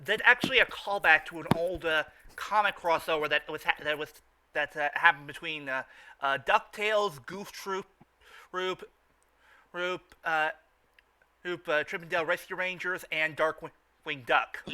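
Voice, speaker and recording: Male commentary host: high, bright voice, chatty, halting delivery with repeated words. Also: clean tone. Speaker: movie riffing host. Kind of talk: casual live commentary.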